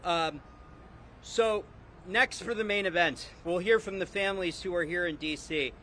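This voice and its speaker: Brief: high-pitched male emcee, brisk and informal, faint street noise. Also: sharp tone. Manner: brisk, filler-laden emcee delivery. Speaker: event organizer and emcee. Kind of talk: introducing speakers at a press event. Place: outdoors on a city street.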